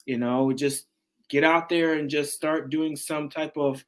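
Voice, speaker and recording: Fitness trainer guest, man in his 40s, slightly high voice, animated and loose, keeps restarting. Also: unhurried. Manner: animated, restarts often, says man and dude. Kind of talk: casual interview chat.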